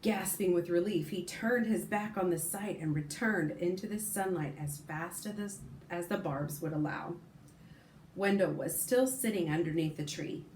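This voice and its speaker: Low-voiced woman teacher reading aloud. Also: normal speed, echoey room, a little hiss or hum, rough recording. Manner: expressive, stops to comment and correct herself. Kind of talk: reading a novel aloud to a class.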